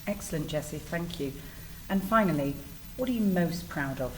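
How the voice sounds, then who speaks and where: A woman's voice: sits low, lively intonation, normal speed, poor audio. A woman interviewing in an encouraging, affirming way, like a teacher hosting a school podcast. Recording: faint background noise.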